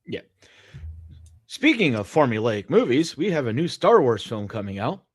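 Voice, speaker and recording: A man's voice: medium pitch, very animated, moderate pace, breathy edge, dry recording, clean studio sound. A man co-hosting a podcast, chatting casually about films in a blunt, profane, grumbling way. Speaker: podcast co-host. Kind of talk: casual chat, film commentary.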